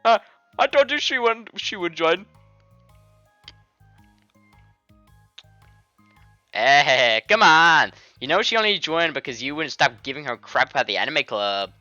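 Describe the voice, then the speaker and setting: Man putting on a high, needling teenage mean girl. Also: lively intonation, normal speed, bright, forceful tone, no room sound, dull audio, soft background music, full character voice. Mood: mocking. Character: teasing, mocking female school friend. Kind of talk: video game fan voice-over.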